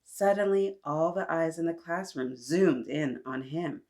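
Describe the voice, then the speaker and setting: A woman reading a children's story expressively, adding animated asides to young listeners. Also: low-pitched, unhurried. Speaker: children's story reader and host.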